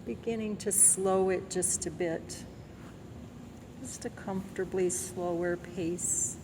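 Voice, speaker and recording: A woman leading a guided body scan meditation in a gentle, soothing manner, leaving long pauses between phrases. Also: lowish voice, fairly even intonation, noisy background.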